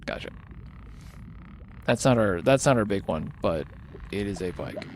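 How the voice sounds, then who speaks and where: Tired guy streaming games, kinda low voice going hoarse, chatting and thinking out loud, bit of noise behind him. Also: expressive, a little slow. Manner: rambling, thinking aloud. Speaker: livestreamer hosting a charity gaming stream. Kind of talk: casual unscripted game-stream chat.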